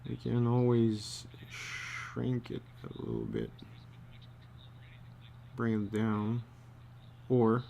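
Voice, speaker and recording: Slow, gravelly man talking while drawing. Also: mid-range voice, sing-song, dark tone. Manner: muttering to himself in short, halting phrases. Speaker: storyboard artist giving a drawing demonstration. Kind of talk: casual think-aloud commentary while drawing. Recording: faint background noise.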